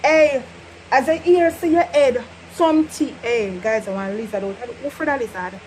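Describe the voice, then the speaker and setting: A young woman from Jamaica with a Jamaican accent, teaching patois in an animated, laughing casual vlog. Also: a little high, moderate pace, a little hiss or hum, poor audio. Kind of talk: casual chatty lesson.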